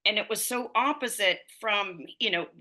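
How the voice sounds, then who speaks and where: A woman in her fifties talks as a podcast guest, winding through her story with plenty of fillers and restarts. Her voice has a bright, forward edge, sitting at an ordinary pitch for a woman. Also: moderate pace. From United States. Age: 50s. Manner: rambling storytelling with many fillers. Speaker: running coach and physical therapist. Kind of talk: conversational podcast interview.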